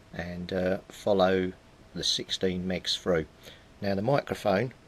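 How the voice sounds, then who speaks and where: A middle-aged man narrates hands-on repair work informally, thinking aloud as he goes. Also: fairly bright tone. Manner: thinks aloud while working. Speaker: hobbyist radio repairer and video maker. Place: indoor cluttered home workbench. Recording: rumbly background noise.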